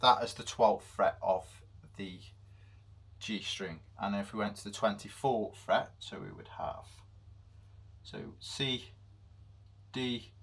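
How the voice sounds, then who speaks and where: A man with a deep voice giving an unscripted music theory lesson, with many pauses and fillers. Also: fairly bright tone, husky. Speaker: music teacher.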